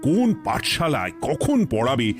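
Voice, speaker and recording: A man's voice: mid-range voice, huge pitch swings, moderate pace, music in the background. A man voicing a middle-aged cartoon king who gives orders in a regal, commanding way. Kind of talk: cartoon voice acting.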